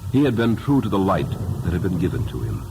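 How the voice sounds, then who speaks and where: A man reading a story as a dramatic narrator, solemn, reverent and measured. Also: low-pitched, very animated, noisy background. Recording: music under the voice. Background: music.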